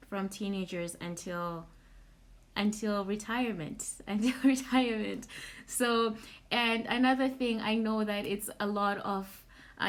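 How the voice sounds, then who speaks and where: A young adult woman from Zimbabwe hosting a casual live question-and-answer session, informal and halting, repeating words as she thinks. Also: medium pitch. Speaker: platform host answering audience questions.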